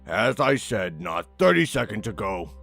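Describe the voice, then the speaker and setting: A man's voice: lowish voice, sing-song, normal speed, creaky, a bit bright, faint music behind, exaggerated voice. A man voicing an impatient, curt gray male pony working a ticket window in a fan audio drama.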